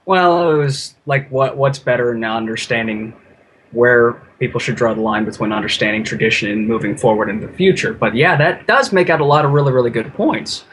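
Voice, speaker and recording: Male guest, husky mid-range voice, casual and rambling conversational delivery. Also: mid-range voice, brisk, fairly bright tone. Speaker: podcast guest, listener. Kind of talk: casual chat.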